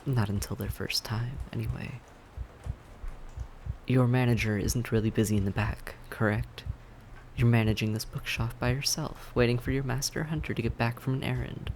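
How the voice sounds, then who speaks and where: A suave vampire acted by a man with a gravelly, mid-pitched voice speaks in courteous, measured phrases. He is patient and quietly menacing, teasing the listener in an audio roleplay, over faint background noise. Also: fairly even intonation, close to an everyday voice. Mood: smug, menacing. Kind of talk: scripted audio roleplay acting, addressed to the listener.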